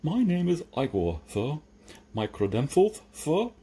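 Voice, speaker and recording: A man's voice: fairly high pitch, sing-song, a little slow, warm tone, a little hiss or hum, pitched above the performer's own voice. A man voicing a stitched-up servant creature from a storybook, obsequious, formal and unflappable, with a grumbling streak. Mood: obsequious, confident. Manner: deferential, formal, salesmanlike.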